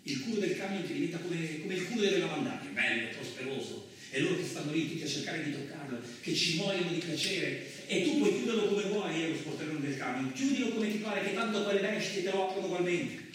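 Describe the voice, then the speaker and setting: An actor playing a rough, talkative veteran truck driver in a colloquial radio theatre monologue, with a rambling, animated way of telling his story. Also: on the high side, brisk. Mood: vivid, wary. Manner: animated, rambling, with rhetorical tag questions. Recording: very echoey, distant stage recording, noisy.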